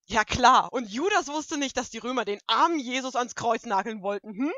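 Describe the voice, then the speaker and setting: A woman voicing a bossy, sharp-tongued cartoon pony princess in a fan audio drama, with dry, teasing sarcasm. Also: on the high side, lively intonation, normal speed, no room sound. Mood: scathing.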